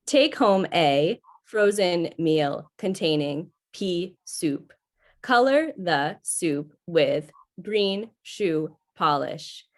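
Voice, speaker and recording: Woman as a slow, impassive computer service voice. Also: fairly low pitch. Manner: measured, impassive announcer delivery. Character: calm, helpful, unfeeling computer service voice. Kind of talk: 1950s radio sci-fi acting.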